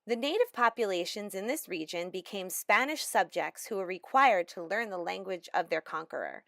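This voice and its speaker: A woman giving a measured, explanatory lecture narration as a language instructor. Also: mid-range voice, animated, vocal fry, fairly bright tone, no echo, clean studio sound.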